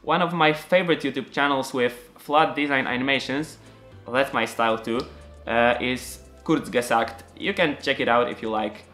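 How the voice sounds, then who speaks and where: A man's voice: mid-range voice, sharp tone, soft background music. Young Polish-accented man, earnest narration. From Poland. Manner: earnest, slightly halting second-language delivery with frequent fillers. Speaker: animator and channel creator. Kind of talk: casual making-of narration.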